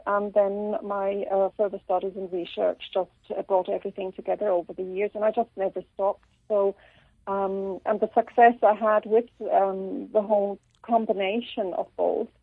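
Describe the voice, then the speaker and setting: A woman from Switzerland with a slight Irish accent, a radio interview guest who talks haltingly with many pauses and restarts. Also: mid-range voice, level tone, husky, no echo. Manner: halting, full of ums and restarts. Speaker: guest author. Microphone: telephone. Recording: tinny phone line.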